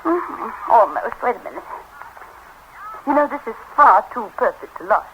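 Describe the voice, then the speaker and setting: A woman's voice: fairly high pitch, very animated, normal speed, fairly bright tone. An actress playing a witty, capricious upper-class English woman in love, with an arch, clipped theatrical manner in 1930s radio drama style. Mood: tender. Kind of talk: 1930s radio drama acting, drawing-room comedy. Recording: noisy, muffled 1930s radio broadcast recording.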